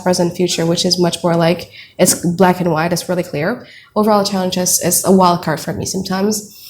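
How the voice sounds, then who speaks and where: A woman's voice: on the low side, darkish tone, a little hiss or hum. A woman from Indonesia with an Indonesian accent, chatting casually through a tarot reading and talking to her viewers as "you guys" with lots of fillers. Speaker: tarot reader.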